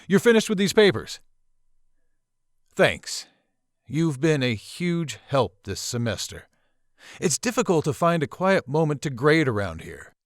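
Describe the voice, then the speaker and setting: Man acting as wry, animated college professor. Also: a little high, no room sound, clean studio sound. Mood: warm, amused. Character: male college professor, wry, courteous, quietly mysterious. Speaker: professor character. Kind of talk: visual novel voice acting.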